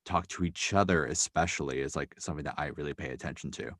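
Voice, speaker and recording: A man's voice: a bass voice, fast, fairly bright tone, no echo, studio quality. A young man, a writer appearing as a podcast guest, rambling thoughtfully and using lots of "like" and "um". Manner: rambling, heavy on fillers like "like" and "um". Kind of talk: casual interview chat. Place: New York City.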